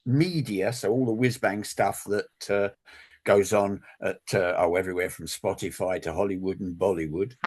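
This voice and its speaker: A man speaking as an industry analyst on a webinar panel, rambling and halting with many fillers. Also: low-pitched, steady tone, darkish tone, slightly rough. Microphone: video call.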